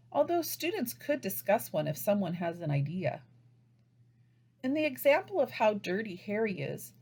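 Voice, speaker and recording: Female trainer for instructional narration: slightly raspy tone, lively intonation, deliberate and didactic delivery. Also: mid-range voice. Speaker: teacher trainer.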